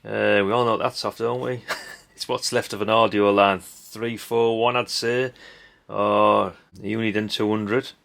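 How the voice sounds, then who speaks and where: A man's voice: lowish voice, level tone, unhurried, creaky, a bit bright. A middle-aged man chats casually and bluntly as a hobbyist host, rambling in a dry way with tag questions and mild swearing. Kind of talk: casual rambling commentary while sorting old electronics. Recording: clinking parts and background noise. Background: clicks and clatter of handled parts.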